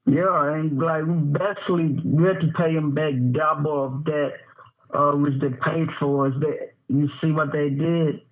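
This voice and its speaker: A man in his twenties from Tanzania calls in over a tinny phone line. His voice sits fairly high, and he rambles and halts through his story with many fillers. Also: fairly even intonation. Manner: rambling, halting, lots of fillers. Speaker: caller.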